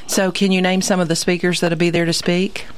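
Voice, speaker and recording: A woman's voice: lowish voice, level tone, brisk, slight background noise. A woman, a regular guest on a local talk show, chatting and asking eager questions.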